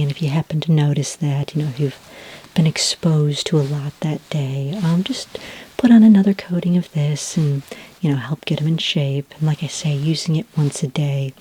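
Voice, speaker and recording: Woman playing a low, whispery, reassuring alien skincare consultant. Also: poor audio. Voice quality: breathy, whispery. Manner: gentle, reassuring, unhurried explaining. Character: kindly, reassuring female alien skin care consultant. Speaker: ASMR roleplay performer. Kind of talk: soft-spoken, whispered ASMR roleplay.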